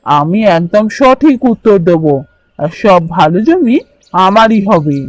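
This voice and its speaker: A man's voice: high-pitched, very animated, unhurried, dry recording, low-quality recording. A man voicing a cunning, greedy younger brother bird in a children's cartoon, scheming and self-assured. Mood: smug, confident.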